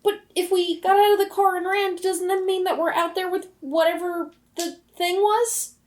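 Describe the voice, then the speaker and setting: A woman's voice: very high-pitched, steady tone, normal speed, voice pushed up. A woman playing an anxious, frightened young girl in a tabletop game, pleading and stammering out questions. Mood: pleading, scared. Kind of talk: tabletop roleplay.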